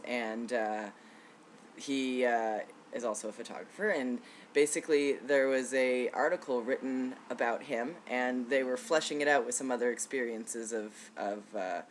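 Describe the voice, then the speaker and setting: Relaxed man in his thirties, reflective. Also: medium pitch, slight background noise. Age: 30s. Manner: relaxed, reflective, with frequent fillers and soft laughs. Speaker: vlogger. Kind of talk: casual personal video update.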